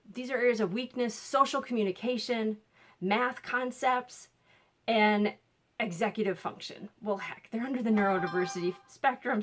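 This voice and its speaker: A woman's voice: mid-range voice, fairly bright tone. Chatty Minnesotan woman coach. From Minnesota, USA. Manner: chatty, upbeat, with little laughs and fillers. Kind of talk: casual direct-to-camera talk.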